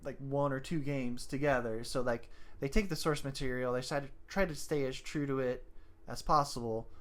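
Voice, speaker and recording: A man with a medium-pitched voice co-hosts a casual podcast. He is agreeable and conversational, readily chiming in with short affirmations. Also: normal speed, fairly bright tone. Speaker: podcast co-host. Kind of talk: casual film discussion chat.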